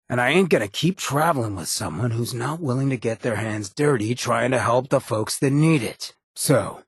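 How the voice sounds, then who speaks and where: An actor playing a streetwise, blunt yet loyal ghoul mayor in a video game, with a husky rasp and a slangy drawl. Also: male voice, medium pitch, no room sound, clean studio sound. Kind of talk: video game companion dialogue.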